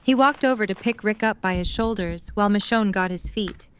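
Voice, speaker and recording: A woman's voice: medium pitch, fairly quick, fairly bright tone, a little hiss or hum. A woman narrating a scripted story as the narrator of an audio drama.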